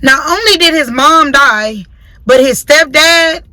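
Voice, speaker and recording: A woman's voice: high voice, slow pace, bright, forceful tone, dry recording. Blunt, profane late-20s woman ranting in a car. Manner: blunt, profane, preachy, repeats points for emphasis. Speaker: podcast host. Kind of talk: casual opinion monologue.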